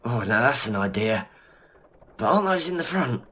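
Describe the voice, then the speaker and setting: A man's voice: medium pitch, big pitch swings, moderate pace, sharp tone, a little hiss or hum. A man acting a chatty, jittery, squeamish sidekick in an audio drama. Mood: chatty, bemused.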